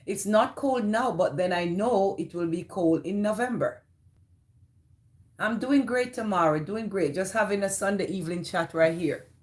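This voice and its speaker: A woman's voice: medium pitch, normal speed. Woman, Jamaican accent, livestream host, casual chat, greets viewers by name. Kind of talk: casual live chat reading viewer comments.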